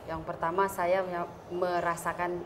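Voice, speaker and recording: A woman interview guest with a hoarse, fairly low voice. She feels her way through answers hesitantly, with clear noise behind her. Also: level tone, normal speed. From Gorontalo, Indonesia. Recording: clearly noisy background.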